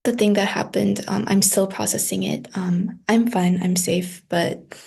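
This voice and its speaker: Young woman from South Korea, Korean native; dark, fry-laden, monotone, shaken. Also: medium pitch. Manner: quiet, halting, still shaken.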